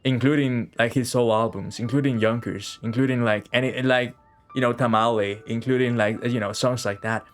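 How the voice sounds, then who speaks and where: A man's voice: medium pitch, fairly even intonation, moderate pace, soft background music. A man chatting casually on a game live stream, rambling and repeating phrases as he thinks aloud.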